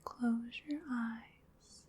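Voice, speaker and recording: Woman doing asmr hypnosis, super slow breathy whisper, soothing, kinda high voice. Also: steady tone, a little mellow, a little hiss or hum. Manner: soothing, unhurried, repetitive guided relaxation. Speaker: ASMR performer.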